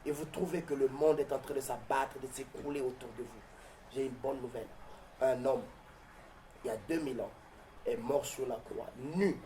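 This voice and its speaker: A man from southern Congo-Brazzaville, with Mbembe as his first language, speaks in a declamatory, preacherly way, pausing on hesitant fillers. His voice is mellow and sits at an average pitch. A faint noise hangs behind it. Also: poor audio. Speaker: pastor and guest. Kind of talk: interview answers turning to a prayer.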